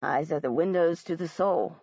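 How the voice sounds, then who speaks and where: A woman voicing a gentle, sorrowful middle-aged male art teacher who speaks softly and slowly. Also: low-pitched, no echo, highs cut off, pitched below the performer's own voice. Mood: gentle sad. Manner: soft, slow, sorrowful.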